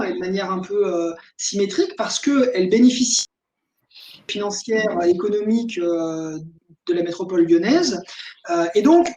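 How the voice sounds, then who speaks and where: A man's voice: high-pitched, darkish tone. Male expert speaking in an echoey room. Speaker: expert guest, local government official and lecturer. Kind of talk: explanatory live conference talk. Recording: roomy, echoing sound.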